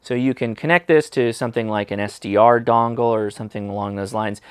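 A man's voice: lowish voice, steady tone, fairly quick, smooth, no echo. American man reviewing gear, close dry sound. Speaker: host of a ham radio review channel. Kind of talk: casual product review to camera. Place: small indoor workshop room. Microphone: lapel mic.

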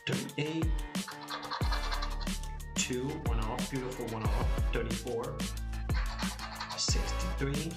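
A man in his thirties live-streaming at a desk indoors, muttering low, then shouting whenever he wins, with his voice going hoarse. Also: slow pace, long pauses. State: losing his voice. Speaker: lottery scratch-off streamer. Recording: roomy echo, loud music behind.

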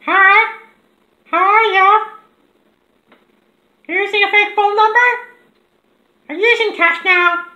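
A man acts out a ditzy young woman in an extremely high, bright falsetto. She pesters with mock-innocent questions on a prank phone call, repeating herself in an echoing room. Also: steady tone, slow pace, pauses often, heavily acted. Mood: mock-friendly. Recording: roomy echoing indoor recording.

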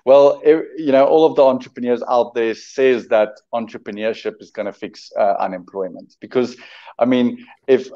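A man's voice: mid-range voice, fairly even intonation, normal speed, warm tone. A South African man in his twenties whose first language is Afrikaans, talking eagerly as an entrepreneur guest on a video call from home, often repeating words as he builds his point. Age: 20s. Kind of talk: casual video-call interview. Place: home room indoors. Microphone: webcam on a video call. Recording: dull lo-fi video-call audio.

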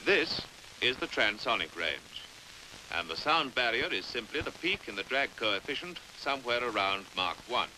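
A British man narrating a 1950s educational film in a precise, measured way, on a hissy old soundtrack. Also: medium pitch, sing-song, sharp tone, poor audio.

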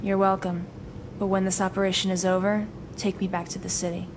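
A woman's voice: medium pitch, flat delivery, a little fast, noisy background, natural voice. An actress playing a cool, reserved young gun-wielding witch in a video game cutscene. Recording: loud soundtrack behind the voice.

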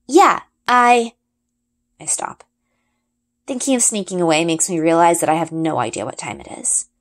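A woman reads a children's fantasy story aloud. Her voice sits a little low and moves through wide, animated pitch swings as she narrates. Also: brisk. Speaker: audiobook-style reader.

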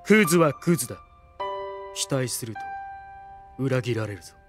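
A man's voice: mid-range voice, lively intonation, moderate pace, music in the background, natural voice. Surly, scornful young anime man by a male actor. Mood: scornful, bitter. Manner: curt, rough, scornful. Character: surly, bitter, contemptuous young swordsman. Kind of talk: Japanese anime audio drama acting.

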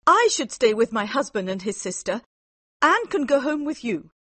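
A man playing a shrill fretful young wife. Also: sing-song, moderate pace, bright, forceful tone, no echo, heavily acted. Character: fretful young married woman, Mary.

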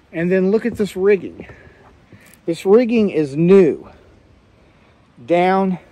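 A middle-aged man's voice with a slightly raised pitch, loose and plainspoken. He wanders through his points outdoors, restarting sentences as he goes. It sounds picked up by a handheld camera held close to him. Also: warm tone, no room sound. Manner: plainspoken, rambling, trails off and restarts. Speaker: sailing vlogger. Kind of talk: casual walkthrough commentary. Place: outdoors in a boatyard, walking around boats. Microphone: handheld camera, selfie framing.

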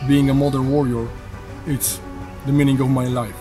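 A man's voice: medium pitch, little pitch movement, moderate pace, over music. A man who leads a warrior group, speaking earnestly in a documentary interview outdoors. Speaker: warrior group leader and author.

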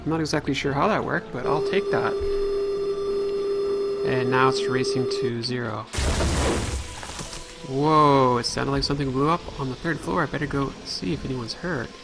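A man hosts a gameplay video in a casual, think-aloud style. His voice sits at a middle pitch. Music plays clearly behind him. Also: normal speed, pauses often. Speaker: let's play host.

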